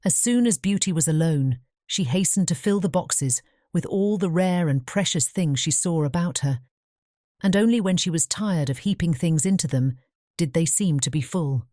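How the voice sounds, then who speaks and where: A woman narrating a fairy tale audiobook in a measured bedtime storytelling manner. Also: fairly low pitch, lively intonation, dark tone, no echo, studio quality.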